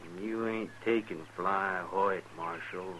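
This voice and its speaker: A man's voice: low voice, steady tone, slow, pushed and bright, full character voice. A man playing a defiant cattle drive boss with a folksy, threatening drawl in a 1950s radio western. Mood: defiant, menacing. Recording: noisy 1950s radio recording.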